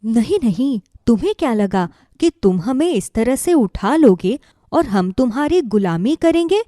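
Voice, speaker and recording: A woman plays a righteous golden cow from a children's fairy tale. She is loud, stern and commanding, with a high female voice in a dry room. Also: very animated, a little slow, no echo. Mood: stern, angry.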